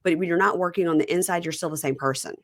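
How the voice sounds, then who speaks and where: A woman's voice: on the low side, quick pace, dry recording, clean studio sound. A woman from Mississippi with a Southern accent, hosting a casual reality TV recap podcast and wandering off on chatty tangents. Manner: chatty and animated, jumping between tangents. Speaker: podcast host.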